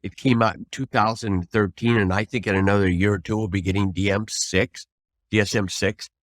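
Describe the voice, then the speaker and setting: A man's voice: on the low side, fairly even intonation, a little fast, dry recording, studio quality. An older man, a trial lawyer, giving advice as an interview guest in an earnest, emphatic, folksy way with rhetorical questions.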